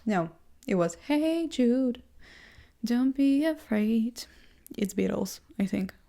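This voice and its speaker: A young woman with a first language other than English, chatting casually and self-deprecatingly as she thinks out loud, full of fillers and restarts. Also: on the high side, expressive, slow pace, vocal fry. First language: not English. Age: young adult. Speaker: vlogger. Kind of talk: casual rambling monologue. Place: seated indoors in a chair.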